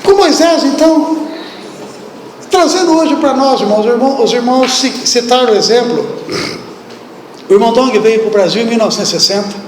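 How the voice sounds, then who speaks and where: A man preaching a sermon with a Brazilian accent, lively and talking straight to the congregation, asking them questions. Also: very high voice, a little fast, pauses often, a little hiss or hum. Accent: Brazilian Portuguese. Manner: animated, acts out biblical speech, asks the congregation questions. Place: church hall. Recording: echoey hall, distant room.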